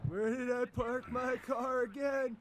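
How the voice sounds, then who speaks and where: Dazed male stoner for a video game, extremely high drawl, slow and goofy. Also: fairly even intonation, very noisy. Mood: spaced-out.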